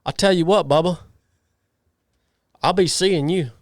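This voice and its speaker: A man's voice: sits high, measured pace, no echo, studio quality. A man with a Southern US accent co-hosting a casual podcast, ribbing listeners with mock-indignant jokes. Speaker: podcast co-host.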